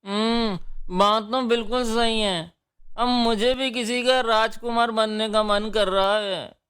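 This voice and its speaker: A cheeky boyish younger brother put on by a man in a cartoon drama. He teases and pleads in a very high, bright voice at a slow pace. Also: fairly even intonation, clean tone. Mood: dreamy.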